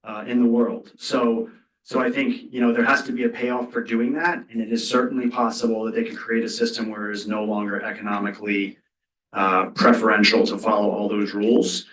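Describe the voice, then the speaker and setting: A man's voice: lowish voice, little pitch movement, fairly quick, fairly bright tone. Man on a panel, echoey and distant. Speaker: trade lawyer on a panel. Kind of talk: spontaneous panel discussion answer. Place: indoor university event hall. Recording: echoey room, distant mic.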